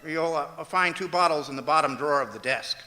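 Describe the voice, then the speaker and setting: A man playing a wry, long-suffering husband, the hesitant deadpan straight man in an old-time radio comedy on stage. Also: on the high side. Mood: explaining, deadpan. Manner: deadpan, halting with hesitant uhs. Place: performing arts center auditorium, live stage. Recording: echoey auditorium stage hall.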